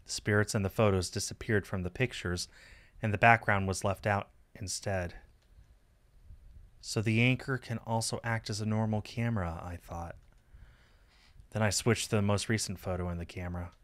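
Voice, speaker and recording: A man's voice: fairly low pitch, steady tone, moderate pace, fairly bright tone, dry recording. A man reading a visual novel aloud on a stream as dramatic story narration.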